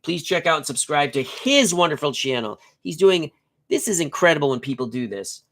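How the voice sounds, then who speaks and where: A man's voice: fairly high pitch, very animated, fairly quick, fairly bright tone. A middle-aged man hosting a tabletop baseball live stream, nasal and congested from a cold, chatting and joking while reading out player stats in short clipped bursts. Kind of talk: casual live-stream chat and lineup reading.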